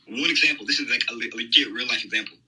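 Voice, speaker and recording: Young male caller, bright rough voice, fast and full of fillers. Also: mid-range voice. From United States. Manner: hesitant, many "you know" and "um" fillers. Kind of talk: phone-in conversation.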